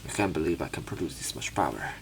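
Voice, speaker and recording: A man's voice: very deep voice, big pitch swings, fast talker, gravelly, fairly bright tone, faint music behind. A man reading game narration aloud as a let's player, breaking into irreverent, casual commentary. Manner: irreverent, crude asides.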